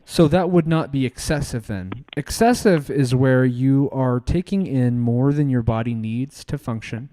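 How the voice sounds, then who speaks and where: Male call-in host, mellow tone, clear voice, halting conversational delivery, polite. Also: mid-range voice.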